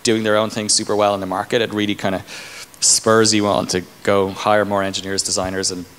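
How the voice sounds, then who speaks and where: A man's voice: lowish voice, flat delivery, brisk, a bit bright. A man in his thirties explaining things informally in a conference Q&A, often hedging with "like" and "kind of". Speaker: tech company engineering leader being interviewed. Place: indoor conference stage. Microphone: handheld microphone. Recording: echoey hall, clear background room noise.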